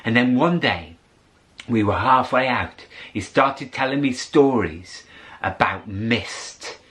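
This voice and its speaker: A man telling a story aloud in a theatrical way, with dramatic pauses and drawn-out words. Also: mid-range voice, lively intonation, a bit bright. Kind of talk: animated oral storytelling to an audience.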